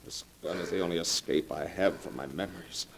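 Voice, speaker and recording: An actor playing a bitter, despairing, hard-drinking husband in 1940s radio melodrama. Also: male voice, deep voice, a little fast, creaky. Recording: noisy old 1940s radio recording.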